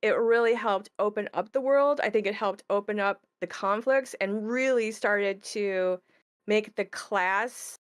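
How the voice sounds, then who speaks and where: A woman's voice: mid-range voice, measured pace, smooth. A woman who works as a game designer, talking conversationally about how a game was made.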